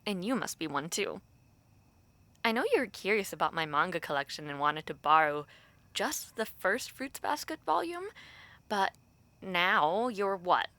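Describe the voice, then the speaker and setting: Young woman as a female college friend for audio roleplay: bright, crisp-edged voice, chatty, sentimental, gently teasing. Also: lowish voice, no room sound, full character voice. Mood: wistful, gently teasing. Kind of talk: intimate audio roleplay, casual one-sided chat.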